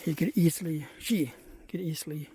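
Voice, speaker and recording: A man from Norway speaking English, giving relaxed, chatty travel commentary to camera outdoors at a jungle camp. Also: on the high side, moderate pace. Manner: relaxed, chatty, thinking aloud. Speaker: travel vlogger.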